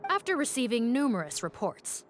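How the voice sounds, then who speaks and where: A woman playing a police officer in a video game, formal, measured and firm as she reports. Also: on the high side, very animated, slight background noise. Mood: firm, serious.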